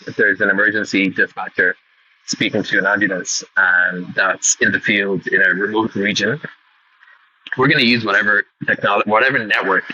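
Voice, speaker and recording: A man's voice: fairly low pitch, sharp tone. A man thinking aloud in a casual, rambling way, often correcting himself as he asks a question. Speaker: podcast listener sending in a question. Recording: muffled, clearly noisy voice recording.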